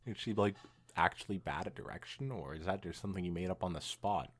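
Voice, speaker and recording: A young man hosting a podcast, reading fan fiction aloud in an animated way mixed with casual chat. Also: low-pitched, fast.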